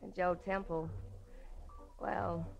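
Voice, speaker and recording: A woman's voice: on the low side, flat delivery, slow pace. An American actress playing a tough, shrewd, guarded businesswoman in a late-1940s radio noir drama. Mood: confiding. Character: tough, shrewd woman silk merchant, a femme fatale client. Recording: vintage radio drama, faint music behind.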